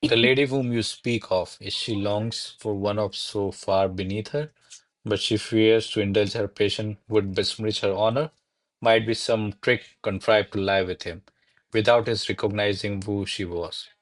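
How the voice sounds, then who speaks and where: Man as guarded male secretary, lowish voice, deferential, measured formal verse, classical radio play. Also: fairly even intonation, lightly acted. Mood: measured advising.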